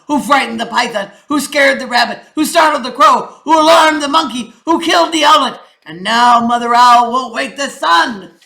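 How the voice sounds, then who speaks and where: A woman plays a storybook lion king passing judgement on his animals. He is loud and accusing, with a forceful, bright delivery. His lines are declaimed in a lively, swinging pitch. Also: vocal fry, heavily acted, poor audio. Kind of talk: storybook read-aloud.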